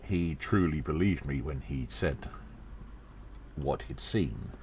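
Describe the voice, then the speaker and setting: Man as audio-drama gnome adventurer: extremely low voice, slow theatrical storytelling, earnest. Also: animated, gravelly, darkish tone, a little hiss or hum, heavily acted. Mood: earnest, conspiratorial. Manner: theatrical, halting storyteller delivery.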